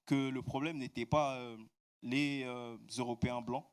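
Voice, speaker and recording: A man's voice: mid-range voice, fairly even intonation, unhurried, smooth. A man with an ordinary mid-range voice interprets a sermon phrase by phrase. He hesitates often, filling gaps and correcting himself as he goes. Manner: hesitant, frequent fillers and self-corrections. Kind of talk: consecutive interpreting into French.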